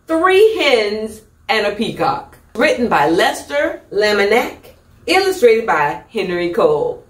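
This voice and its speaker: A middle-aged woman hosting storytime, reading a children's picture book with deliberate, theatrical emphasis. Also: on the high side. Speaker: storytime host. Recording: echoey room, distant microphone.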